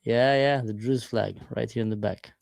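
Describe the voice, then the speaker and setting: A man's voice: lowish voice, fairly even intonation, moderate pace, vocal fry, darkish tone, no room sound. A man in his forties from Israel, chatting with strangers on a livestream and asking lots of questions. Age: 40s. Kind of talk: casual video chat. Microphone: desk camera mic.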